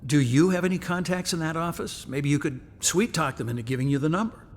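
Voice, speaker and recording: Guy acting as gentle teasing ghost husband, big reverb, lively highish voice, radio play. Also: fast, natural voice. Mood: pondering. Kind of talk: contemporary radio drama acting. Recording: long reverb, rumbly background noise.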